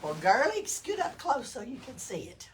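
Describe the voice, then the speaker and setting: A woman with a Southern US accent reading a picture book aloud to children, expressively, pausing to put questions to them. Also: high-pitched. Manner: expressive, engages listeners with questions. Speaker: storytime reader at a library. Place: indoors in a library. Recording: distant mic, noticeable room noise.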